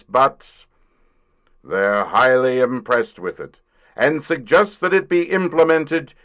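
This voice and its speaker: A man in an audio drama playing a gruff, condescending corporate boss, pompous and grudging in his delivery. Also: medium pitch, a bit bright, highs cut off.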